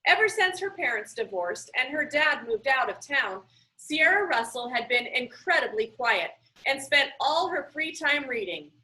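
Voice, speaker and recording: A woman reading a children's book aloud in an expressive storytelling style, animated and emphatic. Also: sits high, unhurried, rough recording.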